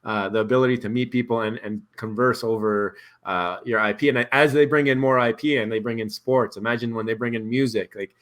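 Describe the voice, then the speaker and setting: A man hosting a casual video call chat, relaxed and rambling, laughing easily. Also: mid-range voice, a little fast, few pauses. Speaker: host.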